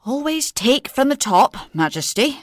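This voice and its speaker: Actress as a blunt, lively Northern English weaver. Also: female voice, high-pitched, unhurried, slight background noise. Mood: dry exasperation. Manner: dry, blunt, colloquial. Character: blunt, sardonic female weaver helping the queen. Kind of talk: comedy audio drama.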